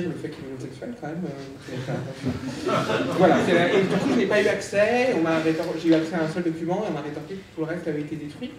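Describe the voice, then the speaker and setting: A man's voice: a little high, background noise. A man speaking on a conference panel, hesitant, with many fillers and restarts, recorded in a large lecture hall. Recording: echoey hall, speaker far from mic.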